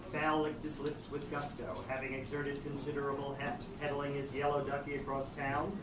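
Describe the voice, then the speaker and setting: A man's voice: medium pitch, little pitch movement, normal speed. A man narrating a comic romance novel with an ornate storyteller's delivery. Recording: roomy, distant, very noisy amateur recording.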